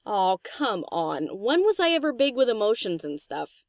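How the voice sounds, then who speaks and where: A woman acts out a brash, slangy female DJ pony in an audio story. Her middle-pitched voice bounces around expressively as she teases in an easygoing, cheeky way. The recording is very dry and close. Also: very animated, moderate pace, dry recording. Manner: casual, teasing, brash. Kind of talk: scripted audio story character acting.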